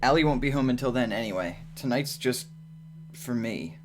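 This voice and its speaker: Young adult man, average pitch, casual amateur reader, some humming noise behind him. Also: normal speed. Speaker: voice actor reading the main character. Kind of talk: casual chat and amateur story reading.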